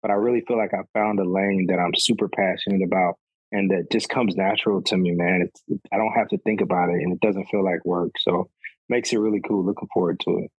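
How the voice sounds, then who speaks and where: Casual podcast guest, man in his 30s, low husky voice, easygoing rambling delivery. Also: fairly quick, no echo, muffled recording. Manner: relaxed, rambling, says "man" often. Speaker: podcast guest, golf sneaker reviewer. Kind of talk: casual conversation.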